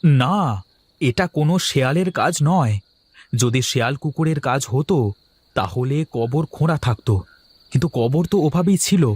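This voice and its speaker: A man's voice: a little high, normal speed, pauses often, a little mellow, slightly rough, dry recording. A man voicing a fretful, bewildered village man in a cartoon horror story, speaking urgently and full of questions. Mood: puzzled, insistent. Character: Mahesh, agitated, bewildered village man grieving his mother.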